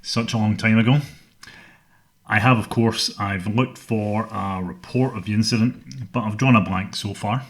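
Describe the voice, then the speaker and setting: A middle-aged Scottish man hosting a solo podcast, speaking in a measured, earnest way about his interests. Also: fairly low pitch, steady tone. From Scotland. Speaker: podcast host.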